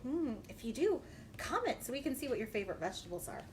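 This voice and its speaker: A woman children's librarian reading a picture book aloud in an exaggerated, animated way and talking directly to young listeners. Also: fairly high pitch, fast. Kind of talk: children's read-aloud storytime. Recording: noisy livestream recording.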